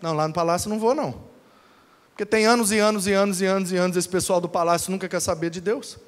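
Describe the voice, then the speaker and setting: A man's voice: high voice, steady tone, fast talker, voice pushed down, close to an everyday voice. A man acting out an unbothered woman prophet who speaks bluntly and colloquially, matter-of-fact about doom. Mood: unimpressed. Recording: long hall reverb.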